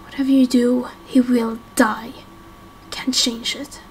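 A woman voicing a grave, fatalistic leader of an underground people in a game roleplay, solemn and measured. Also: fairly high pitch, level tone, breathy edge, heavily acted.

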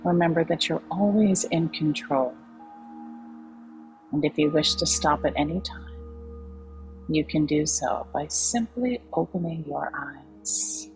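A woman leading a guided meditation in a calm, soothing, unhurried way with long pauses. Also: low voice. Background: soft background music.

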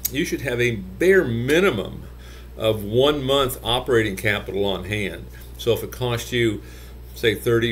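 A man's voice: mid-range voice, slight background noise. An older man, a gym business consultant, giving conversational advice to camera from his office, with frequent "you know" fillers. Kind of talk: talking-head business advice video. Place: office with shelves, indoors.

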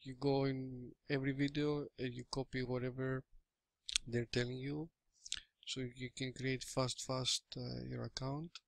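Slow, halting Greek man with gravelly voice. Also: mid-range voice, flat delivery, no echo. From Greece. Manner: hesitant, halting English with many fillers and restarts. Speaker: affiliate marketer giving a product review. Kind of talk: casual screen-recorded walkthrough. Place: small room indoors. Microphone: webcam or laptop mic.

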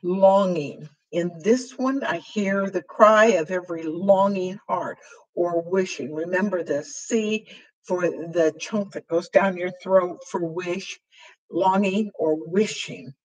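An older woman teaching sign language, explaining signs slowly with a voice worn hoarse after surgery, filling pauses with ums. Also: lowish voice, low-quality recording. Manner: slow, halting, with frequent fillers while signing. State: voice weakened and hoarse after throat intubation. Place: small room with dark curtain backdrop, indoors.

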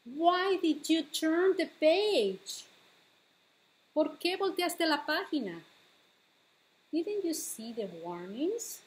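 A middle-aged woman reading a picture book aloud to preschoolers in an expressive, deliberate, bilingual storytime manner, recorded at home. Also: extremely high pitch, rough recording. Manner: expressive, deliberate, switching between English and Spanish. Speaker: children's librarian, storytime host. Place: home living room.

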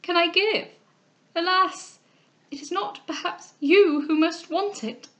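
Woman acting an anguished young gentlewoman, pitched high. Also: animated, moderate pace, highs cut off. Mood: weeping despair. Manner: urgent, formal, emphatic exclamations. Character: anguished young gentlewoman, tearful, proud and resolute. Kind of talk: dramatic reading of a classic novel.

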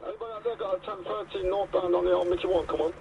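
A man's voice: high voice, steady tone, rapid-fire, gravelly. A man speaking trucker chatter over a CB radio. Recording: noisy, muffled CB radio transmission.

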